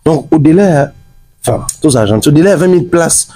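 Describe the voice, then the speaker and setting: A man's voice: on the high side, expressive, brisk, warm tone, no room sound. A man from Congo giving a street interview, talking emphatically and slipping Lingala words into his French.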